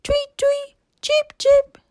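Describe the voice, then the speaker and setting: A woman's voice: very high voice, monotone, slow, darkish tone, no echo, clean studio sound, voice pushed up. A woman voicing a tiny cheerful bluebird with chirping peeps for a children's storybook. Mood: chirpy, alert.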